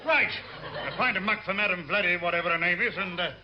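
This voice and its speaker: An actor playing a blustering, impatient senior British naval commander in a classic radio sitcom. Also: male voice, high-pitched, quick pace, bright, forceful tone. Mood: brisk, commanding. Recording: dull old radio recording, studio audience.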